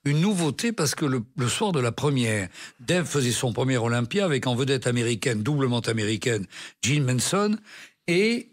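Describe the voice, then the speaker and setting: An older man with a slightly husky, breathy voice tells stories from his life as a radio guest. He stumbles and restarts often, then livens up as he brings an old scene back to life. His pitch sits in an ordinary middle range. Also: normal speed. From France. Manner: hesitant restarts, animated retelling. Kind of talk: anecdotal interview storytelling. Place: radio studio.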